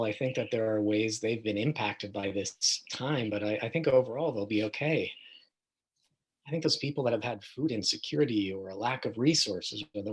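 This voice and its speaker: A man performs a concerned man in a documentary play. His voice is husky and medium in pitch. He speaks in a measured way, as one of a discussion group. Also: poor audio.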